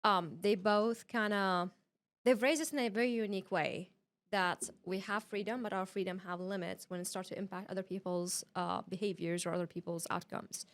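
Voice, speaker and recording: Iraqi-born woman, medium-pitched, explaining casually. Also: fairly even intonation, moderate pace. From Iraq. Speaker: podcast guest. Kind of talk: casual interview answers.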